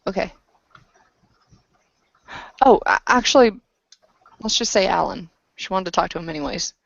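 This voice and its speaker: A woman narrating a tabletop roleplaying session in a hesitant, halting way. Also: mid-range voice, gravelly, dry recording. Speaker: game master and player. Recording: dull lo-fi voice recording.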